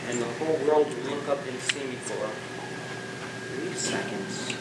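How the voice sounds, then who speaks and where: A man's voice: medium pitch, a little slow, close to an everyday voice. Distant, echoey, brooding spaceship captain played by a man. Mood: resigned, solemn. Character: brooding spaceship captain facing death, wistful. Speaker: student stage actor. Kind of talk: theatrical stage monologue. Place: school theatre stage. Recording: echoey stage, distant mic, clear background noise.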